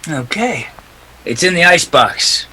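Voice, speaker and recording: An actor playing a tough, cynical private detective with a clipped, sardonic delivery in a detective radio play. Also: male voice, a little high, big pitch swings, unhurried, pushed and bright, slight background noise, heavily acted. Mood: dry, businesslike.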